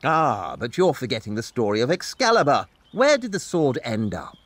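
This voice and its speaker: A self-assured male detective, acted by a man in an audio play, reasoning aloud with theatrical care. His middle-pitched voice moves expressively up and down, and the recording is very dry. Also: sing-song, normal speed, fairly bright tone, dry recording. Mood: knowing, teasing. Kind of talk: audio drama acting.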